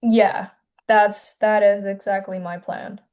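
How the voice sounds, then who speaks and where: Soft-spoken young woman from China. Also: mid-range voice, little pitch movement. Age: 20s. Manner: soft-spoken, hesitant, many pauses and restarts. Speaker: filmmaker guest. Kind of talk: casual interview.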